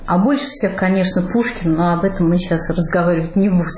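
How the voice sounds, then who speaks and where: A middle-aged woman novelist answering interview questions in a thoughtful, measured way. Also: on the low side, slight background noise. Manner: thoughtful, measured, with soft laughs. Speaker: novelist guest. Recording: roomy studio sound.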